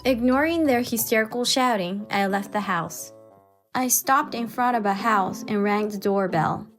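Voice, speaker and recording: A woman's voice: mid-range voice, moderate pace, fairly bright tone, soft background music. A woman giving scripted first-person narration as the narrator of a dramatized story.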